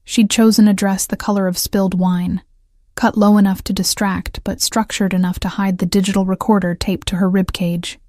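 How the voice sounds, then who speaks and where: A woman narrating a romance drama story in a measured, even manner. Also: medium pitch, no echo, clean studio sound.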